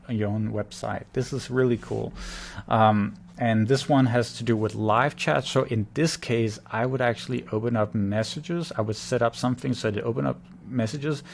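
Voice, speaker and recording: A man's voice: medium pitch, gravelly, slight background noise. A man giving an unscripted, blunt and opinionated screen-share critique as a marketing consultant. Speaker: conversion-rate marketing consultant.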